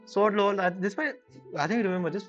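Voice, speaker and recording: A man's voice: sits high, quick pace. A man streaming a video game, giving casual reaction commentary. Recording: dull audio over game music.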